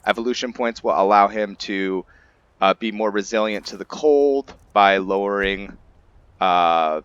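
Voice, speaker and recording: A man hosting a gaming video, giving casual, halting commentary in an informal, rambling way. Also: lowish voice, a bit bright, clear, resonant tone, dry recording, highs cut off. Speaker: gaming channel host. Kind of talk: casual gameplay commentary introducing a roleplay character.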